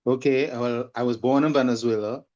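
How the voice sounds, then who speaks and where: A man's voice: medium pitch, steady tone, normal speed, a little mellow. Trinidadian man, slightly muffled recording. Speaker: guest, singer and holistic coach. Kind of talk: conversational interview.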